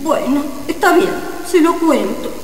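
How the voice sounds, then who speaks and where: A woman's voice: sits high, lively intonation, a little slow. A woman playing an evasive, sheepish amateur sorceress in a radio comedy drama. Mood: coy, evasive. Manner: coy, evasive, theatrical. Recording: echoey reverberant sound, faint noise.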